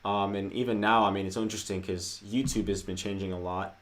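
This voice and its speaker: A man's voice: on the low side, fairly even intonation, quick pace. A man co-hosting a casual livestream, talking through viewer questions in a loose, conversational way. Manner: conversational, many fillers, hedging asides. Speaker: co-host.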